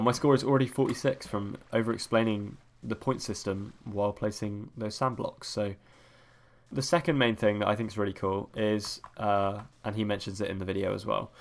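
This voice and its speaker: A young man with a cold, sniffly and croaky, rambling through casual gameplay commentary as a let's-play host. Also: fairly low pitch, a little hiss or hum. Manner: rambling, many ums and restarts.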